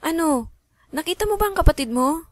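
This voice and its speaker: A woman's voice: extremely high pitch, expressive, brisk, no echo, studio quality. An actress playing a protective, anxious mother in a radio drama. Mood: distraught.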